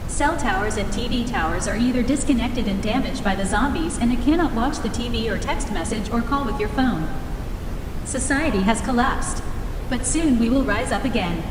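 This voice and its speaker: A synthetic-sounding woman voicing a grave, formal emergency broadcast announcer reading a scripted alert in a flat recitation. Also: natural voice. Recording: echoey hall, long reverb, rumbling noise.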